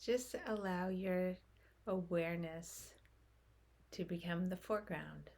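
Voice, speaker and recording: Very slow, husky woman guiding meditation. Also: fairly low pitch. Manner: gentle, unhurried, short phrases with long pauses. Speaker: meditation guide. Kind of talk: guided meditation, slow unfolding instruction.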